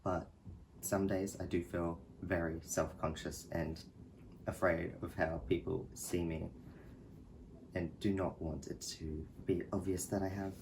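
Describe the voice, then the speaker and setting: A young man talking softly and hesitantly in a personal vlog, with small laughs between thoughts. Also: low voice, little pitch movement, measured pace, vocal fry, background noise. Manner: soft, hesitant, giggly. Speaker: vlogger.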